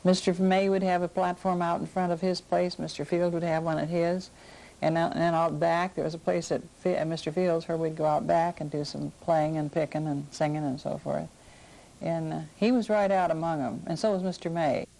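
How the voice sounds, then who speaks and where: A woman's voice: low voice, fairly even intonation, normal speed, creaky, warm tone, poor audio. An older woman, casually recalling the past as an interviewee. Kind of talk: casual oral-history reminiscence.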